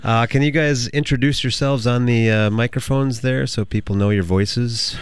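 A man's voice: medium pitch, steady tone, normal speed. A man interviewing a band in a radio studio with dry, understated humor.

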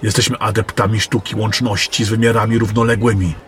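A man playing a secretive, scholarly adept of magic in a fantasy audio drama, speaking in a formal, measured, courteous way. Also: fairly low pitch, little pitch movement, lightly acted. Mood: explaining. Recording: music and crowd murmur behind.

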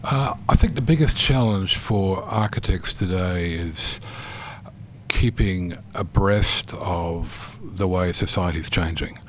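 A very deep male voice speaks with care, pausing often and restarting his sentences as he gives an interview. A faint hiss of noise sits underneath him. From New Zealand. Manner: measured, many ums and restarts. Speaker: architect guest. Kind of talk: interview answers.

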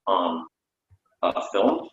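Male playwright in casual discussion, deep voice, hesitant phrasing, roomy sound. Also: level tone. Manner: hesitant, halting. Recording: muffled, echoey room audio.

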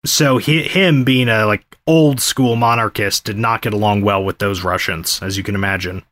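A man co-hosting a podcast, telling a history story in a steady, detailed way. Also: on the low side, moderate pace, no room sound, clean studio sound. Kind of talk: casual history podcast narration.

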